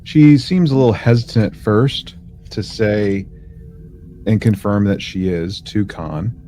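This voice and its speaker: A man co-hosting a podcast, casually recapping in a halting way, as if thinking aloud. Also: lowish voice, warm tone, a little hiss or hum. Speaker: podcast co-host. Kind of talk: casual chat recapping a sci-fi audio drama.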